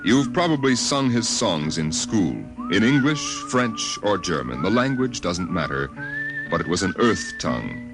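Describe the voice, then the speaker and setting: An American man acting as a grave storyteller of a space-age future in a 1950s radio drama, with dramatic, reverent delivery. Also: low voice. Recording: vintage radio recording, music behind. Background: music.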